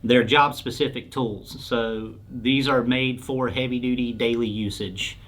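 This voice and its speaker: A man's voice: medium pitch, fairly even intonation, measured pace, fairly bright tone. A man answering questions as a sales expert in an informal explainer interview, speaking in a plain, explanatory way.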